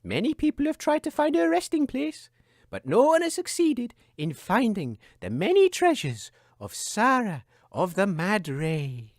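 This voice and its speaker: A man's voice: high-pitched, wildly swooping pitch, unhurried, dry recording, clean studio sound, exaggerated voice. An actor, a man, playing an earnest, theatrical storyteller narrating a children's fairy tale for comedy.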